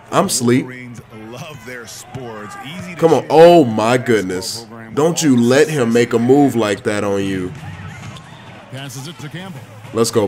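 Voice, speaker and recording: A man giving excited, slangy commentary on his own video game play, full of playful trash talk. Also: mid-range voice.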